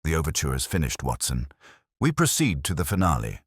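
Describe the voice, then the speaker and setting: A man voicing a cool, precise, commanding Victorian detective with deliberate, theatrical pronouncements in an audiobook. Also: rumbling low, huge pitch swings, husky, no echo, studio quality, exaggerated voice.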